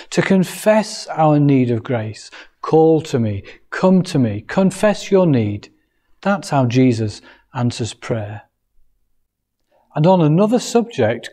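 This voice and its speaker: Mellow middle-aged man preaching a sermon. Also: on the high side. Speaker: Salvation Army officer preaching. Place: church hall, indoors.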